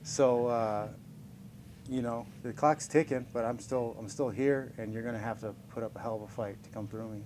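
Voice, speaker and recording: A man in his forties fielding questions from reporters, loose and upbeat, joking about his own age between answers. His voice sits at an everyday middle pitch, with a faint hiss under it. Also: steady tone, normal speed, a little mellow. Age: 40s. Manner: upbeat, chuckling, self-deprecating. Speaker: professional fighter being interviewed. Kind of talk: casual press scrum answers.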